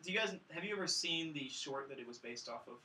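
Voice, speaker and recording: A man's voice: fairly high pitch, animated, fast, pushed and bright, rough recording. A man on a film review podcast, recapping casually with a sarcastic, mock-grandiose comic delivery. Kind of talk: casual comedic plot recap.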